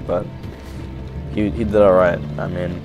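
A man's voice: low voice, level tone, unhurried, phone-quality sound, over music. A man playing a reassuring, hesitant survivor of a zombie apocalypse in an improvised game roleplay. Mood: earnest, reassuring.

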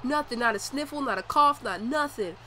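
A woman's voice: sits high, a little fast, pushed and bright. A young adult woman with a nasal, congested voice from a cold, rambling casually through gameplay commentary with slang and swearing. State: sick with a cold. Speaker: gaming video creator.

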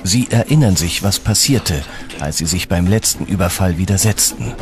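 A man reading scripted documentary narration in a measured, dramatic storytelling manner. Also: sits low. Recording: dramatic music under the voice. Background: background music.

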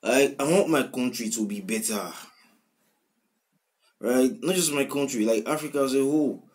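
A man in his twenties with a Nigerian accent, reacting to a song in casual commentary, swinging from loud outbursts to quiet mumbling, recorded on a webcam in a small room. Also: medium pitch, normal speed. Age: 20s. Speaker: music reaction host. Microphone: webcam at desk, wearing headphones.

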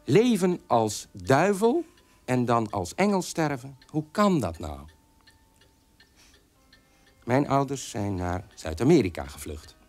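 Defiant son of Nazi parents, radio drama, animated pitch, bitter storytelling, music behind. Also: male voice, slow pace, pauses often. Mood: recounting. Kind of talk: Dutch radio drama monologue.

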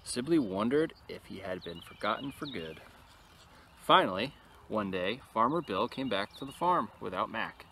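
Man, bright voice, expressive storybook read-aloud, casual asides, outdoor recording, faint ambient noise. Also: lowish voice, normal speed, creaky. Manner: animated when reading, quieter and casual in asides. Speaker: storyteller reading a picture book. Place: outdoors in an open field.

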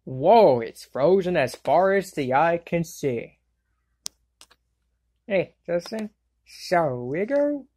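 A woman performs an excitable cartoon girl from an anime comedy fandub. The voice sits slightly low for a female one and leaps widely up and down. The delivery is slow-paced yet exclamatory, with a wisecracking edge. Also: breathy edge, full character voice. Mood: playful, exasperated. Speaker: fan dub voice actor. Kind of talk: amateur cartoon fandub line readings.

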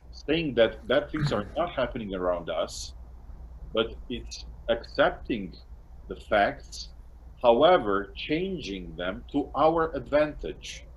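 A middle-aged man with a Serbian accent, hosting a casual video-call interview in English as a second language, speaking haltingly with frequent restarts and small laughs. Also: medium pitch, rough recording. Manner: halting, restarts often, laughs between phrases. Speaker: interview host and course translator. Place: home room indoors. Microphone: webcam on a video call.

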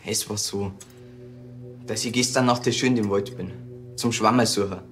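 A young actor playing a sullen, defensive schoolboy from the countryside, in a radio drama. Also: male voice, lowish voice, steady tone, moderate pace, fairly bright tone, soft background music, natural voice. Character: sullen, defensive rural schoolboy suspected of shooting.

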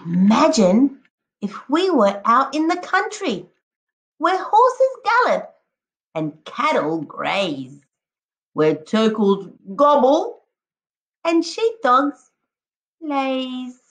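A woman teacher reading a picture book aloud to children, expressively, addressing them directly and encouragingly with drawn-out emphatic words. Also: high-pitched, sing-song. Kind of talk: expressive children's read-aloud with prompting questions.

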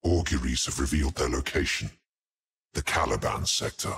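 An actor playing a silky, sinister male lieutenant of Chaos in a game cutscene, speaking in a grandiose, deliberate and menacing way. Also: very deep voice, creaky, slightly rough, exaggerated voice. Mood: conspiratorial. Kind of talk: dramatic game cutscene acting. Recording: voice under very loud music. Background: loud music.

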